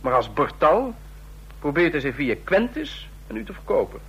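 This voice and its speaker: Suave, scheming ambassador, animated delivery. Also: male voice, on the high side, breathy edge, full character voice, low-quality recording. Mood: conspiratorial. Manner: formal, deliberate, declamatory when toasting. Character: suave, scheming ambassador of a small authoritarian state. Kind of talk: Dutch radio drama acting.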